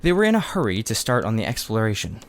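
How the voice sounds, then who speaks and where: A man's voice: on the low side, wildly swooping pitch, fast, slight background noise. A man reading aloud a children's story as scripted audiobook narration. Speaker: audiobook reader.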